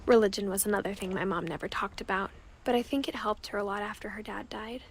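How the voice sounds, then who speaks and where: A young woman playing a reflective girl who narrates her family's sad history in a story game, quiet and measured. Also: medium pitch, fairly even intonation, close to an everyday voice. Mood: reflective, subdued. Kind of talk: scripted first-person game narration. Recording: clear background noise with clicks.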